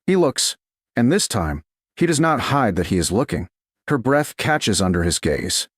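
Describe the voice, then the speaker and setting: A man narrating a scripted romance story in a measured, deliberate, dramatic way, phrase by short phrase. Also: medium pitch, sing-song, dry recording, studio quality. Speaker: audiobook narrator.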